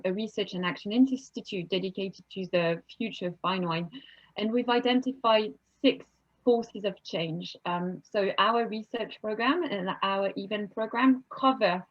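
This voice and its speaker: A woman's voice: mid-range voice, dull audio. A woman hosting an online panel from home over a video call, friendly and chatty, with many fillers and restarts. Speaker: webinar host and moderator. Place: home office.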